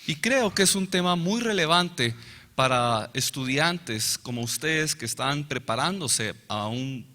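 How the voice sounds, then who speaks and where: Intérprete masculino, ágil y fluido, voz brillante, sala con eco. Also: male voice, a little high, expressive, bright, forceful tone. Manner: brisk, fluent, follows the speaker phrase by phrase. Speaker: interpreter. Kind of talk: consecutive interpretation of a lecture. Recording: reverberant hall.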